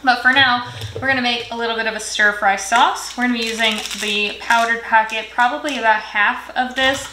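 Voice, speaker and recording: A woman's voice: a little high, fairly even intonation, brisk. A young woman hosting a cooking video in her home kitchen, explaining each step in a friendly, chatty way. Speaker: cooking channel host. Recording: echoey kitchen with sizzling pan noise. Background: frying and stirring sounds.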